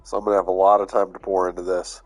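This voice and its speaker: A man's voice: low-pitched, level tone, rapid-fire, soft background music, poor audio. Stuffy-voiced man, laid-back game commentary. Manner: laid-back, thinking aloud with fillers. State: stuffy, under the weather. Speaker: gaming YouTuber. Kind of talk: casual gameplay commentary.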